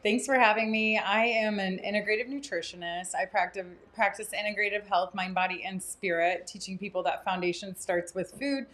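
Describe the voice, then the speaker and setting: A woman's voice: medium pitch, fairly even intonation, brisk, hardly any pauses, a bit bright. A woman introducing herself as a nutritionist on a panel in a conversational style. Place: inside a wellness center.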